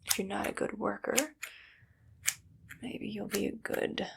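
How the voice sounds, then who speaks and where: A woman performs a vain, paranoid evil lady doctor for an ASMR roleplay. Her voice is hushed and whispery, breaking into heavy vocal fry, with quiet matter-of-fact menace. A faint noise sits behind the voice. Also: mid-range voice, completely flat delivery, unhurried. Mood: coolly threatening. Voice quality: soft, whispery, with creaky fry.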